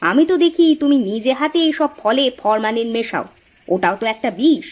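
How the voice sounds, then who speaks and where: Woman as a fairly high-voiced reproachful wife. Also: expressive, brisk. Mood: disapproving. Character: disapproving, stubborn wife of a fruit seller. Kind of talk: Bengali cartoon folk-tale voice acting.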